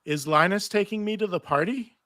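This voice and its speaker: A man's voice: sits high, brisk, sharp tone, voice pushed up. A man voicing a sweet, naive little cartoon girl in a cutesy, eager way at a live table read.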